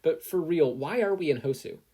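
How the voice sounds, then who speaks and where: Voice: mid-range voice, expressive, moderate pace, mellow, husky, pitched above the performer's own voice. A performer voicing a nervous, earnest teenage boy hero trainee in an audio story. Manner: anxious, earnest, rambling questions.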